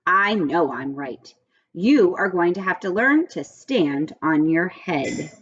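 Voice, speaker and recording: A woman's voice: fairly low pitch, expressive, slow, fairly bright tone, breathy edge, highs cut off, full character voice. A woman voicing a firm, encouraging, no-nonsense female schoolteacher in a storybook. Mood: stern. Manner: insistent, no-nonsense.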